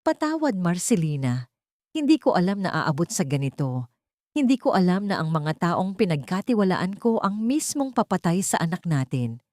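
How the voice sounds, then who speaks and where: A woman's voice: on the low side, sing-song, moderate pace, warm tone, no echo, studio quality. A woman voicing a remorseful, anguished father in an audio story. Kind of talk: audio story character dialogue.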